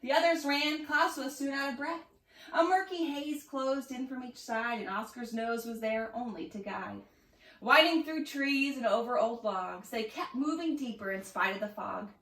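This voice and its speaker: A woman's voice: sits high, moderate pace, fairly bright tone. A woman expressively reading a rhyming children's story aloud. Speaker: storybook reader. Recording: echoey room, distant mic.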